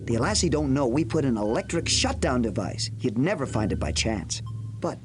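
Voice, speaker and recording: A man's voice: medium pitch, sing-song, normal speed, background noise, close to an everyday voice. An actor playing an anxious, helpful starship crewman in a science fiction game, deferential to his captain and speaking with hesitant urgency. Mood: urgent, explanatory. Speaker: game character. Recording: music behind the voice.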